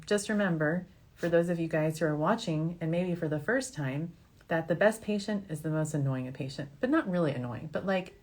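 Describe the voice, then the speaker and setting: A woman doctor hosting an informal question-and-answer show, speaking in a frank, conversational and reassuring way. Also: on the low side. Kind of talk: informal advice talk, answering viewer questions.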